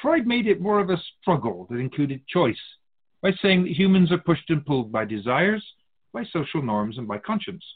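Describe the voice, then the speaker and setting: A man's voice: on the high side, sing-song, normal speed, phone-quality sound. A man playing an otherworldly keeper of souls in a radio drama, a wise, teasing mentor who muses theatrically in rhetorical questions. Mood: musing, teasing. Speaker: spirit guide in a radio drama.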